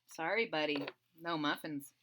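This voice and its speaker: A woman reading a storybook aloud to children, expressive and conversational, speaking directly to young listeners. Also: deep voice, normal speed, slight background noise. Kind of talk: animated children's read-aloud with casual asides.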